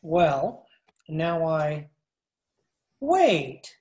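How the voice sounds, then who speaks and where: Lowish-voiced, courteous crooked lawyer, acted by a woman. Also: very animated, extremely slow, dark tone, exaggerated voice. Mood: flustered. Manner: formal, courteous, hesitant. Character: polite, evasive mob lawyer. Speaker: amateur radio play actor. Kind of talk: 1940s radio crime drama acting. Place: at home, recorded over video call.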